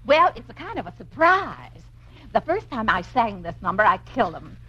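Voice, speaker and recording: A woman's voice: a little high, sing-song, moderate pace, pushed and bright, husky, full character voice. A woman playing a playful, scheming wife in theatrical, chatty 1950s radio comedy style. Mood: showy, chatty. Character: scheming playful wife, posing as brash lowbrow nightclub singer. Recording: muffled noisy 1950s radio broadcast.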